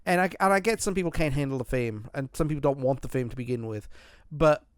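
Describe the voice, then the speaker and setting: A man's voice: medium pitch, quick pace, clean tone, dry recording, clean studio sound. A man hosting a podcast, chatting casually about music in a rambling way with lots of restarts. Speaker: podcast host.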